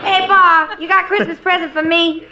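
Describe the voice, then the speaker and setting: A woman's voice: extremely high pitch, fairly even intonation, normal speed, highs cut off, heavy background noise. A woman voicing a plaintive, sassy hillbilly mountain wife in a 1950s radio comedy sketch, on an old recording. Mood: wistful, sassy.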